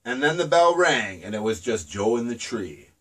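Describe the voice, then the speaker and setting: A man's voice: medium pitch, sing-song, normal speed, far from the mic. A man reading his own rhyming children's book aloud in a sing-song storybook manner.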